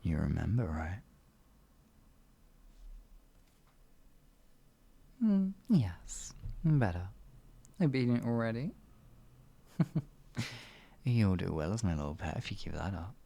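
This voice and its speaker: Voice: sits low, very animated, unhurried, creaky, pauses often, warm tone, husky, exaggerated voice. A performer voicing an evil, sadistic sorcerer in an ASMR fantasy roleplay, theatrical and condescending, stretching words and slipping into menacing whispers. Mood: condescending, sinister. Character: evil, sadistic, all-powerful sorcerer, gloating and cruel.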